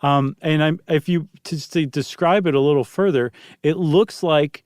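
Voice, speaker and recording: A man's voice: a little high, normal speed, darkish tone, clear, resonant tone, no echo, studio quality. A man co-hosting a podcast, explaining things in a casual, chatty and descriptive way. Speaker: podcast co-host.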